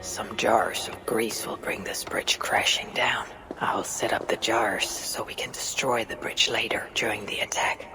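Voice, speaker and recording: A determined Norse raider woman in a game cutscene. Her voice sits low, and she talks in a blunt, measured, grave manner. Music plays underneath. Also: steady tone, in a whisper, rough recording. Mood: brooding, quiet. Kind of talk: video game cutscene acting.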